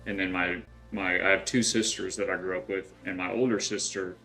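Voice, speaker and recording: Middle-aged guy from west texas, low gravelly voice, rambly easygoing gamer chat, faint music behind. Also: little pitch movement, brisk, bright, forceful tone, poor audio. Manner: rambling, easygoing, thinks aloud with many fillers and restarts. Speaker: game streamer. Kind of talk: casual gameplay chat with chat readers.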